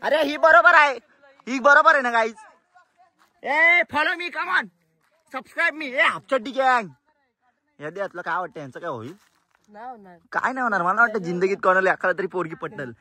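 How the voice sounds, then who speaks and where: A man's voice: very high voice, lively intonation, moderate pace, long pauses, pushed and bright, dry recording. Playful young man vlogging. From Maharashtra, India. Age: young adult. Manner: playful, teasing, slangy with English catchphrases. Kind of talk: casual vlog chat.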